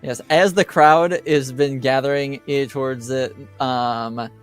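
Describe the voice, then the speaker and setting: A man with a smooth, clear voice narrates a tabletop fantasy game as game master. He speaks slowly and haltingly, piecing each scene together as he goes, with faint music behind him. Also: medium pitch, fairly even intonation.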